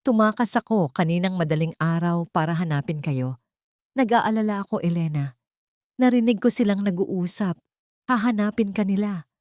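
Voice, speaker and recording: A woman's voice: medium pitch, normal speed, darkish tone, dry recording, studio quality, close to an everyday voice. A woman voicing a weary, worried old nanny in a story, trembling and urgent. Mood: fearful urgency. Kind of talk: storybook drama.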